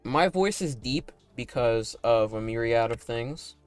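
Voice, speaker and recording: A man chatting casually on a livestream while playing a game, with a slightly raspy, deepened broadcast voice and a rambling manner full of likes and tag questions. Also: animated, measured pace, soft background music. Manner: talking in a put-on broadcast voice. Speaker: animator and streamer.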